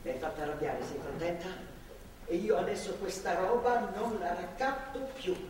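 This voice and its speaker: A woman's voice: fairly low pitch, moderate pace, darkish tone, close to an everyday voice. An actress playing an older, motherly woman guarding a captive in a stage drama, folksy, stubborn and rambling. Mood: cross, stubborn. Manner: folksy, rambling, matter-of-fact. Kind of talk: theatrical stage drama acting. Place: theatre stage, picked up from afar. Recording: echoey hall, distant mic, noisy ambience.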